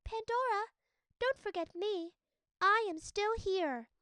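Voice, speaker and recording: A woman voicing a small shining winged spirit in a storybook, gentle, encouraging and reassuring. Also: extremely high pitch, takes it slowly, dry recording, voice pushed up, heavily acted. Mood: calling gently.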